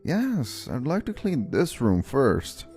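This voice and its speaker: A man's voice: medium pitch, takes it slowly, faint music behind, heavily acted. A man voicing an exhausted, polite young office worker who gets flustered, in an earnest manga dub style. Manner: earnest, weary, expressive. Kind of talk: anime-style manga dub narration and dialogue.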